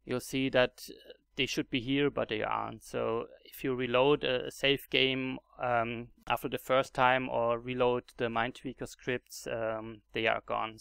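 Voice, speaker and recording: A man's voice: mid-range voice, creaky, a bit bright, smooth, no echo. A man with a German accent narrating a casual game tutorial, hesitant with many fillers and restarts. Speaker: Minecraft mod video creator.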